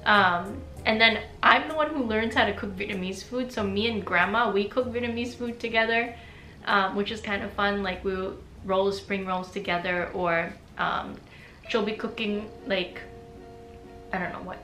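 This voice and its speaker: Young adult woman, chatty vlogger, bright tense voice, roomy echo, faint music underneath. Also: mid-range voice, normal speed. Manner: chatty, frequent "like" fillers, laughs often. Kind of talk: casual personal vlog.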